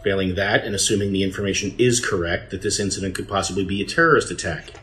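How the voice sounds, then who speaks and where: A man playing a grave President in a science fiction audio drama, measured, pondering and authoritative. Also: low-pitched, fairly bright tone.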